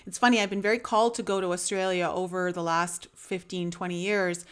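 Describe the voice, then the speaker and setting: A woman's voice: fairly low pitch, fairly even intonation, a little fast, smooth. A woman hosting a podcast, chatting casually with a guest and rambling with many fillers and restarts. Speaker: podcast host. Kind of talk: casual interview chat.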